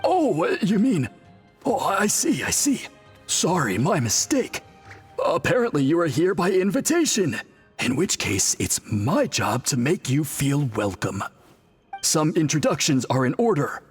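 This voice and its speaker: A dutiful priest in an anime game, very husky and on the high side. He explains things formally and courteously, with animated swings in pitch. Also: a little slow, soft background music, exaggerated voice. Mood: sheepish.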